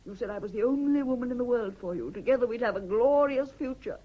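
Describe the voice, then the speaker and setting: A woman acting a proud, scornful British mistress in a radio melodrama. Her voice is husky, and she speaks with biting, dramatic emphasis and betrayed intensity. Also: on the high side, quick pace, warm tone, natural voice.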